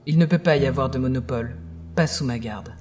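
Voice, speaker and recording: A woman's voice: very deep voice, a little mellow, a little hiss or hum. A woman as a cold, solemn villainess. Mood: cold resolve. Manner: solemn, measured, imperious. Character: cold, calculating female agent of chaos. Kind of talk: audio drama acting.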